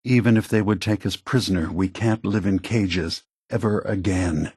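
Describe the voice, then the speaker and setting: An actor playing an aging prototype android leader in a video game, a gentle, philosophical idealist with a soft, husky voice and measured, earnest phrasing. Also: male voice, sits low, no room sound, clean studio sound. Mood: firm, resolute. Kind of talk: acted video game dialogue.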